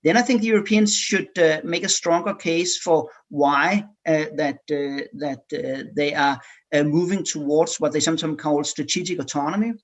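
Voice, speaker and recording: A man from Denmark, speaking as a panelist in a policy discussion, pausing often with filler sounds and repeated words. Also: fairly high pitch, fairly even intonation.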